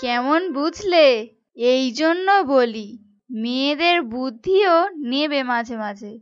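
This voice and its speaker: Clever, self-satisfied cartoon woman, played by an actress, high and unhurried, slightly muffled. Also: lively intonation. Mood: smug. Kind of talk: Bengali cartoon story dubbing.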